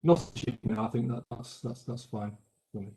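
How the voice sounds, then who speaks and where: A man from England giving measured expert testimony at an inquiry, often restarting his sentences. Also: mid-range voice, fairly even intonation, vocal fry, mellow, echoey room, poor audio. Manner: measured, hesitant with frequent restarts. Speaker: expert witness.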